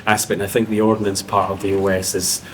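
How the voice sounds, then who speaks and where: A man's voice: fairly low pitch, little pitch movement, fast talker, background noise. A man on a panel, speaking reflectively with fillers. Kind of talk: panel discussion.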